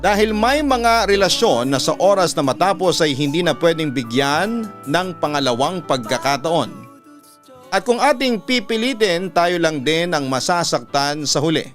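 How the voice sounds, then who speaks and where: A Filipino man reading a listener's letter as a radio storyteller, in a measured, sentimental way with a smooth, resonant voice. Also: fairly high pitch, animated, fairly bright tone. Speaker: radio announcer and story narrator. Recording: music bed under the voice. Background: background music.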